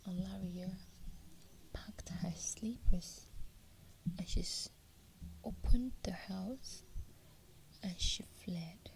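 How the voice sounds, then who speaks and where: A woman telling a folk tale in a soft, unhurried whisper. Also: mid-range voice, creaky, poor audio. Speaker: storyteller. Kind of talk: whispered storytelling.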